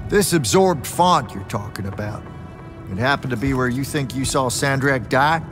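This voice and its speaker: A man voices a sardonic old mentor figure from a video game, drawling and folksy, in a mid-pitched voice. A full orchestral score plays behind him. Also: moderate pace, music in the background, lightly acted. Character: wounded, gruff older male mentor, sardonic. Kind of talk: video game cutscene acting.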